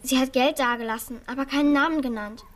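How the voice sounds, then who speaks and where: A woman's voice: sits high, fast, lightly acted. An actress in a children's radio play voicing a cautious, protective orphan girl who speaks plainly and earnestly. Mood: earnest, sad. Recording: studio radio play with faint music.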